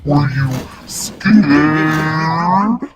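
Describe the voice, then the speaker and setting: A woman's voice: low voice, over loud music, pitched below the performer's own voice. A woman voicing Gabe, a hungry male monster under the bed who growls fondly. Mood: growly. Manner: growly, slow, menacing but fond.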